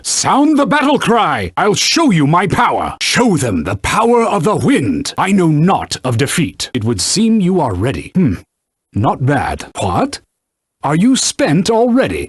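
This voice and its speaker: A proud, stern talking sword from a fantasy video game. His male voice is husky and extremely animated in pitch, recorded close and dry. He instructs and scolds in pompous, old-fashioned knightly language. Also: no room sound, exaggerated voice. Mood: rousing, urgent, then haughty, scornful. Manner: pompous, formal archaic phrasing, scolding and instructive. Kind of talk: theatrical video game voice acting.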